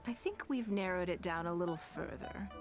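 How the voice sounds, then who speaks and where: A poised, commanding noblewoman with a lowish voice. She speaks formally and deliberately, calm and shrewd, in a video game scene with music softly behind her. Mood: calm, watchful. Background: background music.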